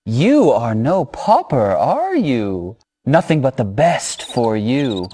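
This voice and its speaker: An actor playing a sly, insinuating trader with courtly, measured speech in a fantasy video game. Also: male voice, medium pitch, wildly swooping pitch, warm tone, a little hiss or hum. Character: sly, smooth-talking shady trader with a cold grudge. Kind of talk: video game cutscene acting.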